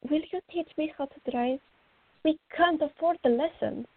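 A woman's voice: high-pitched, normal speed, no echo. A woman playing a fiery, cheeky teenage girl in a radio drama, eager and playful. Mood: excited, pleading. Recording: dull lo-fi recording.

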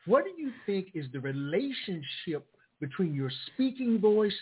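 High, husky-voiced male radio host. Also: very animated, normal speed. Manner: effusive, laughs readily. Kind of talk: interview. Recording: tinny phone line.

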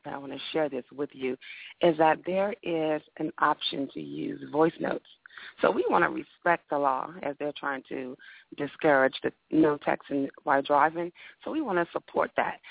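Raspy woman radio host, tinny sound. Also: deep voice, dry recording. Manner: upbeat, repeats words. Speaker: radio talk show host. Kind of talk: casual radio talk. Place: radio studio.

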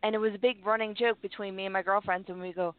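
A woman's voice: mid-range voice, fairly even intonation, fast, clean tone, dry recording. A woman co-hosting a radio talk show, playful and giggly, with a habit of doing silly voices. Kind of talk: casual radio banter. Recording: dry, phone-like narrow sound.

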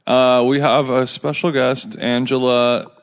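A man's voice: medium pitch, level tone, a little slow, clear, resonant tone. Man, podcast host, casual chat, playful wordplay, joking.

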